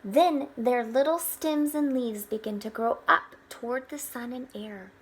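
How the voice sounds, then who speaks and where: A woman reading a picture book aloud to children, expressively, pausing for explanatory asides. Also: on the high side, breathy edge. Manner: expressive, with hushed asides pointing things out.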